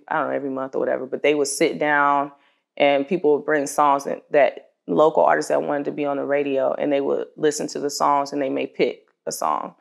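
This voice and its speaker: A woman with a low voice and a gravelly, creaky edge tells the story behind her work as a singer-songwriter. Her delivery is casual and conversational, like an informal interview, and she voices other people's remarks as she goes.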